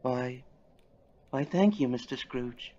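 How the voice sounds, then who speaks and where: A man acts out a humble married woman in a Victorian storybook play. She speaks slowly and quietly, hesitant and deferential. The sound is slightly muffled and lo-fi. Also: mid-range voice, lively intonation, pitched below the performer's own voice. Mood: surprised, grateful. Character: meek, poor married woman, deferential debtor. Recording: dull lo-fi recording.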